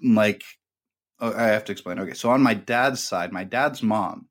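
A man's voice: lowish voice, moderate pace, fairly bright tone. A man hosting a podcast, telling a long family story in a rambling, casual way with many fillers. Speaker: podcast host. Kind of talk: casual conversation.

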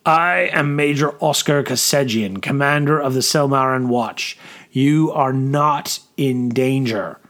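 A man voicing a tall, rugged army major in an audiobook, calm and resolute, with formal, authoritative military speech. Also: mid-range voice, normal speed, lightly acted. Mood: calm, firm. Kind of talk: audiobook character dialogue.

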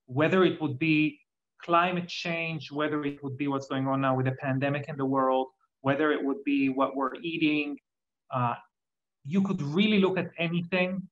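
Man, Israeli accent, reflective, explains with examples, slightly high voice, dull muffled audio. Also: fairly even intonation, moderate pace. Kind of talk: casual conversation.

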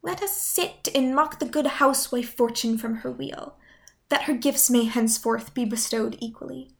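A woman's voice: fairly high pitch, normal speed, gravelly. A woman playing an affectionate, playful young noblewoman in a Shakespearean radio play, with a coaxing, tender, articulate delivery. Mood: playful, witty.

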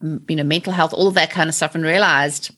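A woman in her forties with a South African accent, chatting lively as an interview guest. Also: low voice, quick pace. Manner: lively, chatty, laughs often. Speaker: guest, mindset trainer. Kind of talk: casual interview chat.

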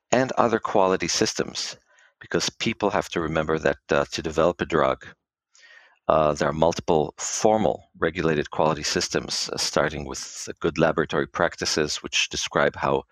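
A middle-aged man from Israel, a biotech quality expert, answering podcast interview questions in a measured, halting way with many fillers. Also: rumbling low, fairly bright tone, dry recording.

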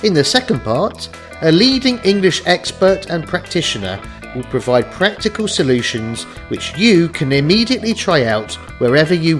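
A man hosting a podcast, reading a scripted introduction in a clear, measured way. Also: a little high, a bit bright. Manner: clear, measured read-out delivery. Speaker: podcast host.

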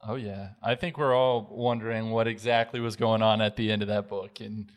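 A man's voice: fairly low pitch, steady tone, normal speed. A man speaking as a podcast host, chatting casually and putting questions to a guest. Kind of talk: casual chat.

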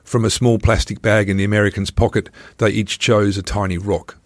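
A man's voice: lowish voice, level tone, a little fast, dry recording, studio quality. A man reading his own travel memoir aloud as scripted first-person narration.

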